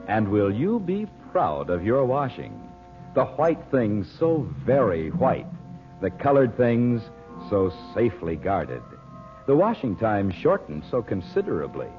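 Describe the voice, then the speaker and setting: A dull vintage radio recording with music playing behind a male announcer. He is polished and coaxing, swinging his pitch in an animated way as he sells to the listener.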